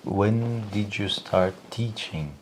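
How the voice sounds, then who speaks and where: A male interviewer asking short, quiet questions from away from the microphone. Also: on the low side, slow, slight background noise, rough recording.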